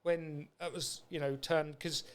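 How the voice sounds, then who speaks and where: A man's voice: fairly high pitch, flat delivery, a little slow, noisy background. A British man hosting a podcast in a casual chat, talking in an informal, rambling, matey way. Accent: British English. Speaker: podcast host.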